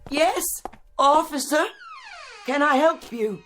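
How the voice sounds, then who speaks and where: Slow, fragile, pleading older woman. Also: high voice, a bit bright. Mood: anxious. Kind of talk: 1960s television drama acting. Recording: vintage TV soundtrack with music behind.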